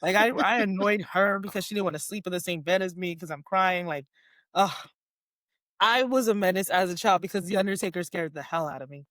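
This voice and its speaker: A woman's voice: on the low side, brisk, dry recording. A woman in her twenties, born in Haiti and raised in South Florida, chatting as an interview guest and telling stories with lots of "like" fillers. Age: 20s. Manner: chatty, animated storyteller, many "like" fillers. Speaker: guest, professional wrestler. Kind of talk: casual interview chat.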